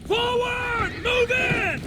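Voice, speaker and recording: High-pitched commanding army captain, noisy backdrop. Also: male voice, very slow, sharp tone, raised voice, full character voice. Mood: urgent, commanding. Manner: barking clipped orders. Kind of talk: video game cutscene acting. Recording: clear background noise, outdoor ambience effects.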